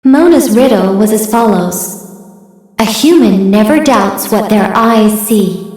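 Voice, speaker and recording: A woman voicing a composed mystical mage in a video game, speaking in a formal, measured manner. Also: medium pitch, lively intonation, a little mellow. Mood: solemn. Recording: very echoey, faint music behind.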